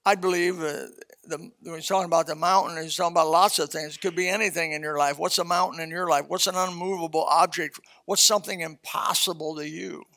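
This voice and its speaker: A man's voice: fairly high pitch, steady tone, a little fast, creaky, hardly any pauses, pushed and bright. A seventy-year-old man preaching a casual, blunt sermon, reading scripture and talking plainly to his congregation. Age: 70s. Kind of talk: casual sermon, Bible exposition.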